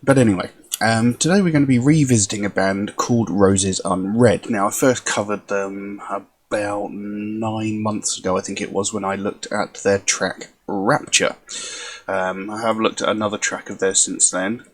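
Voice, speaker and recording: A man hosting a music reaction video in casual chat, his voice hoarse and croaky, rambling with many ums. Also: lowish voice, fairly even intonation, normal speed.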